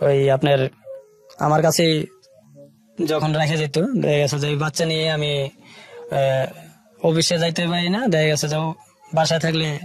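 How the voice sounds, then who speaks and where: A man with a Bangladeshi accent telling his life story as an interview guest, in colloquial, halting short phrases. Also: fairly high pitch, little pitch movement, soft background music.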